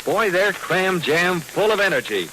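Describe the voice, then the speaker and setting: A man's voice: high-pitched, very animated, measured pace, bright, forceful tone, slight background noise, low-quality recording. A man announcing a 1940s radio advertisement for children in an enthusiastic, folksy sales style, on an old recording.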